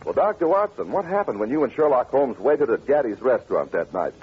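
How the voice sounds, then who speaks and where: A man's voice: medium pitch, big pitch swings, fairly quick, loud music behind the voice. Old time american radio host guy, swoopy sales delivery, dull vintage sound. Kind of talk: 1940s radio sponsor pitch and hosting. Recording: muffled, rough old radio recording.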